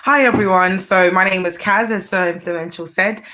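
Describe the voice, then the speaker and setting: A young woman chef from Zimbabwe, chatting casually as an interview guest, warm and quick to laugh. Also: on the low side. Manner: chatty, laughs easily, uses fillers.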